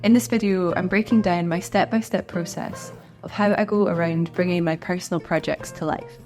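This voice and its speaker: A woman's voice: fairly low pitch, steady tone, moderate pace, darkish tone, faint music behind. A woman artist chatting to camera in an encouraging, friendly way, sounding a little stuffed up from a cold. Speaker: artist and video creator. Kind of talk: casual talk to camera.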